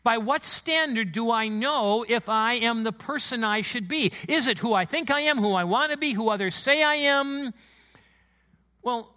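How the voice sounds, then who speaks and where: A man preaching a sermon to his church congregation, with a booming voice and an emotional, excitable delivery. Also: normal speed. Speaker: pastor. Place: church sanctuary.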